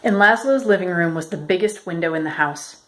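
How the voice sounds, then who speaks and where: A woman reading a children's picture book aloud as a storyteller, in a measured, expressive way. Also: on the low side, noisy background. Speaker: storyteller, educator.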